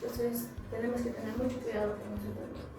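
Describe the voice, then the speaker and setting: A young woman, a student speaking earnestly in a group discussion, pausing on fillers. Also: fairly high pitch, little pitch movement. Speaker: psychology student. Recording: distant echoey room with music.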